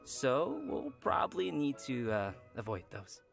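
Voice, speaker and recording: A man's voice: mid-range voice, huge pitch swings, a little slow, creaky, over music. A man hosting a game update program, reading announcements with upbeat, playful asides. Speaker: program host. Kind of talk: reading a scripted event announcement.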